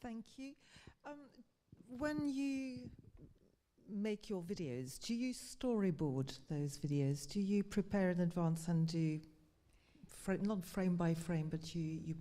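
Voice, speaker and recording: A woman in the audience asking a guest questions politely, with halting phrasing and restarts. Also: fairly low pitch, warm tone. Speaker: audience member.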